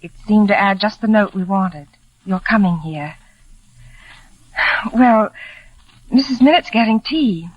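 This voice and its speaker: A woman playing a sweet, eager young newlywed wife, gentle and polite, in 1940s radio drama style. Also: mid-range voice, unhurried, long pauses, dry recording, lightly acted. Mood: bright welcome. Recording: dull vintage radio broadcast.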